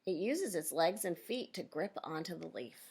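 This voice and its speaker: A woman reading a children's nonfiction book aloud as a teacher, pausing to explain and question her young listeners directly. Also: on the low side, expressive, noisy background.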